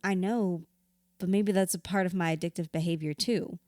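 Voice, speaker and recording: A woman's voice: lowish voice, steady tone, normal speed, darkish tone, dry recording, studio quality, lightly acted. A woman acting in an audio drama as a grieving widow in therapy, wounded and candid, reflective with a wry edge.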